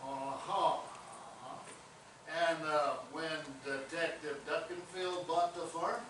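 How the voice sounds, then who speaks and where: A man's voice: fairly high pitch, fairly even intonation, slow pace. A man playing a folksy, stubborn cowboy lawman who teases suspects in Old West slang, in a comic stage play reading. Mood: probing. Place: theatre stage, recorded from afar. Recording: distant, very echoey, noisy theatre recording.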